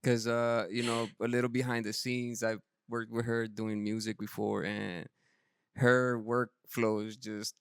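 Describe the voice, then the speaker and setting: Casting: man, casual interview host. Clear resonant voice, dry sound, informal slangy delivery with effusive reactions. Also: medium pitch, flat delivery, measured pace, no echo. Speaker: podcast host.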